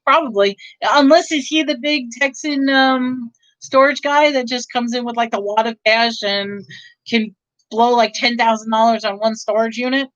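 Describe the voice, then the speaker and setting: A woman's voice: on the high side, moderate pace, fairly bright tone, no room sound, muffled recording. A middle-aged woman with a New York accent, chatting with viewers on a livestream, rambling and correcting herself. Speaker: reseller livestream host. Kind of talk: casual live chat with viewers.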